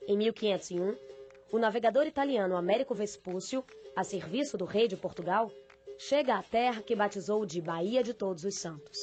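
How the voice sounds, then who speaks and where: A woman reading scripted documentary narration in a measured, formal way. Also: medium pitch.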